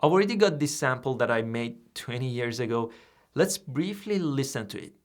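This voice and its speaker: A man whose first language is Persian, hosting a software tutorial and explaining each step in a plain, steady way. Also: medium pitch, moderate pace, loud music behind the voice. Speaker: tutorial host. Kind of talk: screen-recorded software tutorial.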